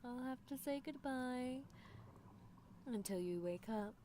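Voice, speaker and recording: Woman acting a slow-talking, doting mad scientist girl. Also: high-pitched, mellow, a little hiss or hum, pitched above the performer's own voice. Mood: apologetic, sly. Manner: slow, coaxing, theatrical, drops into whispers. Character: doting, possessive young female mad scientist experimenting on her darling. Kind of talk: live ASMR roleplay.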